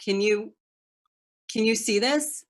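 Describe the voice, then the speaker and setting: A woman playing a frantic, entitled wealthy mother in a play performed as a video call, imperious, agitated and halting. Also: fairly high pitch. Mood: impatient. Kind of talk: one-act play monologue on a video call.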